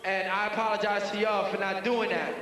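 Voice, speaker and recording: High-voiced man addressing a crowd in a hall. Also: level tone, a little fast, noisy background. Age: 30s. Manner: earnest, firm, preaching to younger dancers. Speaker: b-boy addressing the crowd on stage. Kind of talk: public apology speech to a crowd. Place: large event hall. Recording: roomy hall echo from far off.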